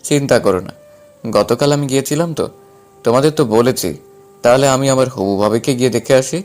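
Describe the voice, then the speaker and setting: A man's voice: medium pitch, fairly quick, darkish tone, natural voice. A man playing a cheeky but caring young man, the younger son of the family, in an audio story. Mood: casual. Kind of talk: Bengali audio story acting.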